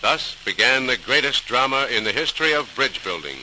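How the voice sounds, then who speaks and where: A man's voice: medium pitch, animated, a little fast. A man narrating a scripted 1940s radio program in a solemn, formal, dramatic manner. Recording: noisy, crackly 1940s radio transcription.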